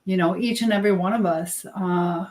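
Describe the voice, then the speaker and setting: Woman, middle-aged, American accent, medium pitch, casual livestream chat, encouraging, scattered chatty delivery. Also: a little fast, a little mellow. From Michigan, United States. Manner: chatty, jumps between topics mid-thought. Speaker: livestream host. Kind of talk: casual live chat, reading and answering viewer comments.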